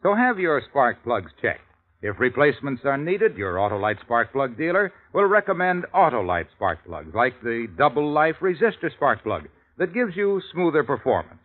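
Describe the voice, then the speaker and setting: A man announcing a commercial in a 1950s radio sales pitch style. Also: big pitch swings, moderate pace. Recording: tinny old radio recording.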